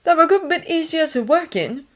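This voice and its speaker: A woman's voice: very high-pitched, expressive, moderate pace, pitched above the performer's own voice. A woman voicing a stiff, guarded young male hospital orderly with clipped, defensive speech in an audiobook. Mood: matter-of-fact. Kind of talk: audiobook character dialogue.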